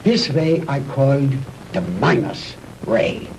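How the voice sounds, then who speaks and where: An actor playing a proud, vengeful mad scientist in a 1960s B-movie, lecturing pompously with theatrical pauses on an old film soundtrack. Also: male voice, medium pitch, animated, a little mellow, recorded from a distance, slight background noise, poor audio. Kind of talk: 1960s B-movie sci-fi acting.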